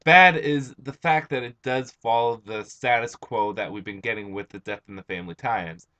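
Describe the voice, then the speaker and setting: A young man with a hoarse, tired voice, just out of bed, rambling through a casual comic book review. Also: mid-range voice, normal speed, sharp tone. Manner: rambling, chatty, with frequent "uh" and restarts.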